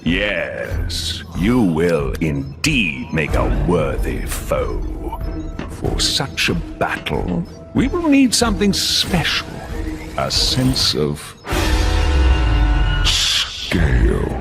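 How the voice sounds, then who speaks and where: A male time-bending warlord villain in a game cutscene, grandiose and gloating. His voice swoops dramatically up and down at an unhurried pace, with game music playing behind. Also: low voice, wildly swooping pitch, vocal fry, heavily acted. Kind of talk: video game cutscene acting.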